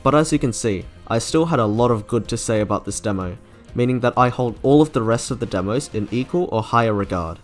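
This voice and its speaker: A man's voice: mid-range voice, animated, fairly quick. A man narrating a scripted video game review as an online reviewer. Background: faint music.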